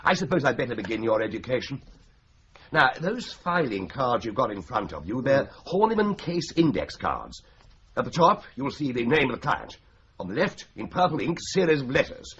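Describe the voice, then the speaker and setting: A teasing young English solicitor in a radio drama. He is wry and breezy, with a lively, bouncing intonation. The recording is a little muffled. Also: medium pitch, moderate pace, a little hiss or hum. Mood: wry, brisk. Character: flippant, teasing young solicitor fond of a drink. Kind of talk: British radio drama dialogue.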